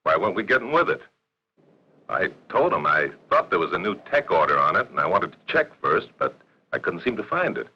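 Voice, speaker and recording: An actor playing a harried Air Force sergeant, explaining himself defensively in a 1960s training film. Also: male voice, sits low, sing-song, fairly quick, breathy edge, exaggerated voice. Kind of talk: scripted first-person reenactment in 1960s training film. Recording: dull, noisy 1960s film soundtrack.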